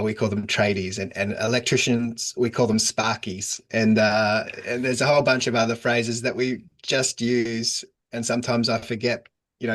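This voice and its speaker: Relaxed Australian man on a call. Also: mid-range voice. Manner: relaxed, chatty, laughs often. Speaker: guest, digital agency founder. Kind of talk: casual remote interview. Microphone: remote call.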